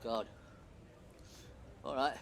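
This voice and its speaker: An actor playing a shy, apologetic young romantic in an audio play, with a hesitant, awkward way of talking. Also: male voice, drawn-out words, fairly bright tone, a little hiss or hum. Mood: bashful.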